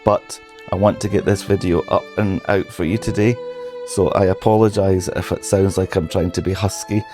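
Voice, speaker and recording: A man with a sore, hoarse throat narrating a history documentary in a solemn, measured way. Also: low-pitched, fairly even intonation, faint music behind. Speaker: history channel host.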